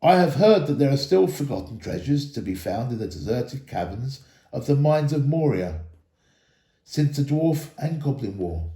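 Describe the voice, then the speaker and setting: A man voicing a wise, grave ancient elf lord in a storybook, with measured, solemn, archaic phrasing. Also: mid-range voice, big pitch swings, dark tone, roomy sound. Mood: grave, knowing.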